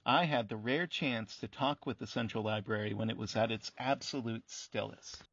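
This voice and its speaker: A man's voice: fairly low pitch, normal speed, a bit bright, breathy edge, no echo, highs cut off. A man playing a curious, mild-mannered interviewer in a radio play, speaking politely and with understatement. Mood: mild, reflective.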